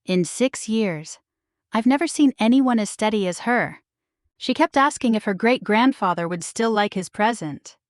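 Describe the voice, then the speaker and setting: A woman's voice: medium pitch, animated, moderate pace, no echo, clean studio sound, lightly acted. A woman voicing a kind, reassuring young female store employee in a story, earnest and plain-spoken. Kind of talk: storybook-style dialogue reading.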